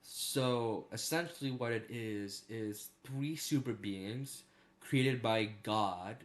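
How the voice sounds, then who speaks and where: A man giving casual, rambling opinion commentary as a video creator, speaking informally with slang and some swearing. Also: on the low side. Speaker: video creator ranking horror series.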